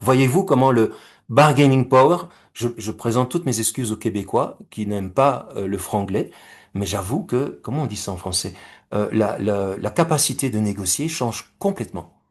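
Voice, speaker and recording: A middle-aged man from Belgium and Rwanda giving a recorded talk, measured and explanatory, with homely comparisons and questions to the listeners. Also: fairly low pitch, expressive. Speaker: speaker presenting his conflict-resolution method.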